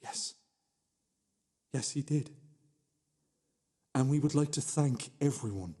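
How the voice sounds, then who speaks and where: An actor playing an obsessive, self-abasing fifty-year-old husband in a radio play, repeating himself insistently and stammering. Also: male voice, medium pitch, takes it slowly, warm tone, breathy edge. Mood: hushed, mournful insistence.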